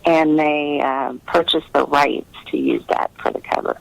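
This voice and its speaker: A woman's voice: low voice, fairly even intonation, measured pace, creaky, rough recording. A woman giving conversational interview answers as a novelist guest, effusive and full of compliments, heard over a remote call.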